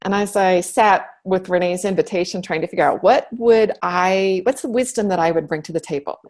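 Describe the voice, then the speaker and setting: A woman's voice: lowish voice, fairly quick, a little mellow. Earnest woman guest giving a talk. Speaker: shaman and guest speaker. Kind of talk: online talk.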